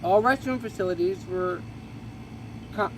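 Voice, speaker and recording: A man's voice: sits high, measured pace, background noise. A man reading a history book aloud, stumbling and correcting himself casually as he goes. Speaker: reader.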